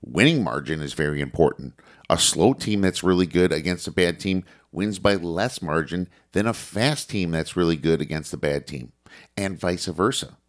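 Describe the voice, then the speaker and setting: Man, very low voice, congested from a cold, podcast host reading through sports notes. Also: moderate pace. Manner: steady list-reading delivery with fillers.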